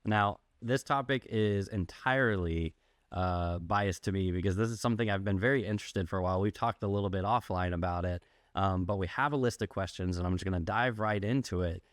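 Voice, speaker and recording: A male podcast host with a fairly low voice and a close, dry sound. He speaks informally and plainly, in the manner of a casual interview. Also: no echo.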